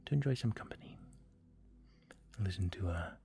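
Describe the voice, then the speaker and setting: A man hosting a livestream, whispering gently and offering soft, comforting affirmations in an ASMR style. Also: deep voice, lively intonation, moderate pace, creaky, soft background music. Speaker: livestream host.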